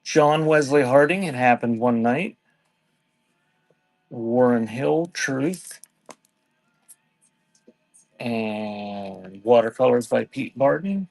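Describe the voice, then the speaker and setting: A man running a casual live-stream sale, talking in an offhand, halting way and thanking viewers by name. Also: mid-range voice, creaky, a little mellow, dry recording. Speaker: live online seller.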